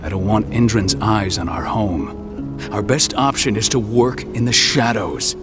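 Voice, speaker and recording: Low-voiced commanding pack leader, played by an actor. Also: male voice, music in the background, lightly acted. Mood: firm, cautious. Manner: measured, authoritative. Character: cautious, commanding adult male pack leader. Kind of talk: video game cutscene acting.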